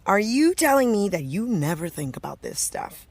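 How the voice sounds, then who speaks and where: A woman's voice: medium pitch, sing-song, moderate pace, vocal fry, breathy edge, no echo, exaggerated voice. A woman playing a jaded, self-doubting American television actress in an audio drama, talking casually and wryly with trailing thoughts. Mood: wry, teasing. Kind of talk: naturalistic audio drama dialogue.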